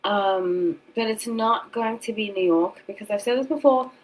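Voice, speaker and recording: A woman's voice: mid-range voice, moderate pace, rough recording. A woman in her thirties from South Africa and England with a British accent, hosting a casual livestream in a rambling way, repeating words for emphasis. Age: 30s. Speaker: tarot reader and streamer host. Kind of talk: casual livestream chat with commentary.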